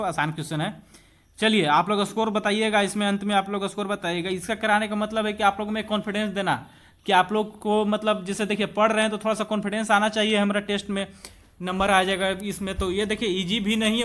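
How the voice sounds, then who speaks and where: A high-voiced man gives an exam-prep physics lesson, working through questions step by step. He talks to students conversationally, puts rhetorical questions to them and drops English technical terms into his explanations. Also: fairly bright tone. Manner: switches between Hindi and English terms. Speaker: physics teacher.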